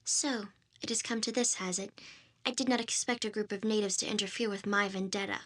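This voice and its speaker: A woman's voice: mid-range voice, steady tone, brisk, fairly bright tone, natural voice. An actress playing a cold, haughty female demon villain in a video game, speaking with articulate, imperious disdain. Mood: cold, disdainful. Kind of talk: dramatic video game voice acting.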